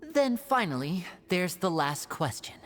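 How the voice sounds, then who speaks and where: A man's voice: high-pitched, big pitch swings, unhurried. A man playing a clever, polite young sleuth in a video game, speaking in a methodical, articulate way. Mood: analytical.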